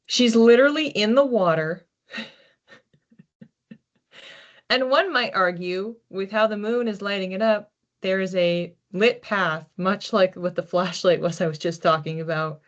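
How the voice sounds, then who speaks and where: A woman hosting an informal psychic card reading in a conversational, reflective way, with animated asides. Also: mid-range voice, a little slow, dull audio. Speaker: psychic card reader, host.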